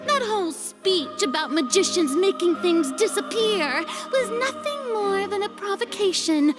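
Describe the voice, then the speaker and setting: Haughty, grandiose young goddess. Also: female voice, very high voice, expressive, unhurried. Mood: accusing. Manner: haughty, declamatory. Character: grandiose young female deity, dramatic and self-assured. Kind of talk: game cutscene dub. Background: music score.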